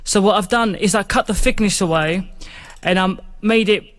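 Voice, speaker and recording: A man's voice: high-pitched, normal speed, a bit bright. A man hosting a music production tutorial in an informal, rambling, chatty way, explaining things step by step. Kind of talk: casual step-by-step software tutorial.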